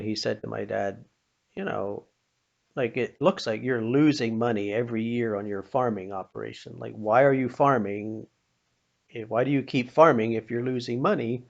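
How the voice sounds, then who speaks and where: An older American man telling informal inspirational stories in a folksy, plain-spoken manner, pausing now and then. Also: lowish voice, creaky, muffled recording. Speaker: storyteller sharing childhood memories with a religious lesson.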